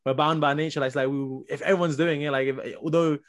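Very fast-talking young man. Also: fairly high pitch, steady tone. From Nepal. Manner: informal, slangy, heavy use of "like". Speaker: podcast panelist. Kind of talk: casual group discussion.

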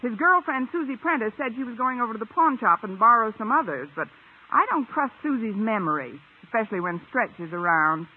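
An actress playing a quick-witted, wry schoolteacher with dry, wisecracking delivery in a 1950s radio sitcom. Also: female voice, a little high, lively intonation, breathy edge. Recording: muffled old-time radio broadcast.

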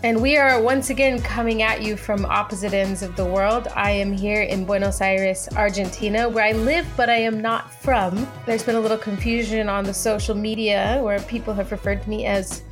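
A woman's voice: medium pitch, steady tone, normal speed, few pauses, soft background music. A woman co-hosting a podcast in casual chat, with a wry, playful way of talking. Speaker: podcast co-host.

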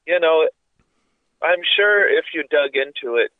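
A man's voice: a little high, unhurried, no room sound, phone-quality sound. A congested man with a cough and sore throat, chatting casually on a podcast in a rambling, profane way. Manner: rambling, profane, full of fillers. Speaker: podcast co-host. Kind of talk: casual chat.

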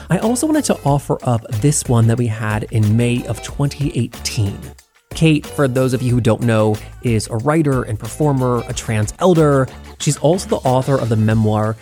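A man's voice: medium pitch, lively intonation, normal speed, a little mellow, faint music behind. A man reading a welcoming, upbeat introduction as a podcast host.

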